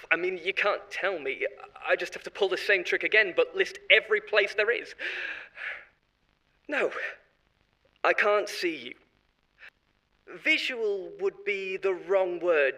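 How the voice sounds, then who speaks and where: Horror audio drama: a chatty, unsettling corporate exec, high and bright. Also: male voice, rough recording. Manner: rambling, confiding, oddly cheerful. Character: eerie corporate marketing head, adult man, unsettling. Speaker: voice actor.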